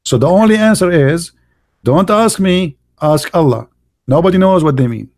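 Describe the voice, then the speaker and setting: A man whose first language is Arabic speaks English with an Arabic accent and a slightly higher-than-usual pitch. He argues in a pointed, mocking way and repeats his points for emphasis. His grammar slips in the way a non-native speaker's does. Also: lively intonation, normal speed, darkish tone. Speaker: live-stream host debating callers. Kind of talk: heated religious debate talk.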